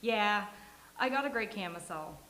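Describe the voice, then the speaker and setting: A woman's voice: medium pitch, expressive, normal speed, bright, forceful tone. Woman acting as a neurotic, teasing New York woman, roomy sound. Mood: teasing, dry. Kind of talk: live sitcom table read. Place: live venue with studio audience. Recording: roomy live venue, faint hiss.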